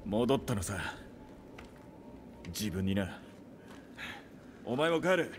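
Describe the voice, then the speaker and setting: A man playing a gruff, blunt middle-aged demon hunter in a Japanese video game. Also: lowish voice, big pitch swings, takes it slowly, a little hiss or hum. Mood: gruff, grave. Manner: blunt, taunting.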